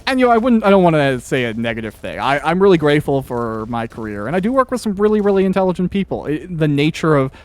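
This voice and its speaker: A man's voice: on the high side, sing-song, fast, hardly any pauses. A man hosting a casual interview chat, talking in a wordy, hesitant way and often interrupting himself. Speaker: podcast host interviewer.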